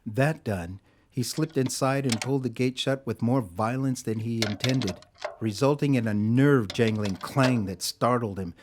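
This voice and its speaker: A man narrating a scripted audio drama as a measured, literary storyteller. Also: medium pitch, noisy background.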